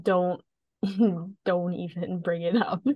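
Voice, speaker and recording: A woman's voice: fairly low pitch, level tone, takes it slowly, dry recording, muffled recording. A woman co-hosting a podcast in casual, informal chat, a bit sniffly. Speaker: podcast co-host.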